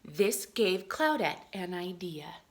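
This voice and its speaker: A woman reading a picture book aloud to young children in an expressive way, adding chatty explanations and questions for her listeners. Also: on the low side, slow. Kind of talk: animated read-aloud with chatty asides and questions.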